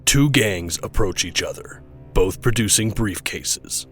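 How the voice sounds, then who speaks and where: A man's voice: on the low side, lively intonation, unhurried, fairly bright tone, soft background music. A man reading scripted dramatic narration for an audio drama.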